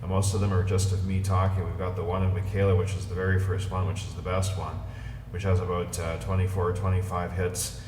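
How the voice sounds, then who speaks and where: A man's voice: low-pitched, level tone, fairly quick. A middle-aged man talking to viewers in a hesitant, earnest, rambling monologue. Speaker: amateur video blogger. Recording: echoey, distant amateur recording with noise.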